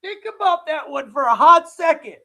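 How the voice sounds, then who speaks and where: A man's voice: very high voice, expressive, normal speed, gravelly, sharp tone. A man hosting a livestream, giving mocking live reaction commentary with a sore throat. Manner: mocking, laughing ranting. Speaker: livestream host.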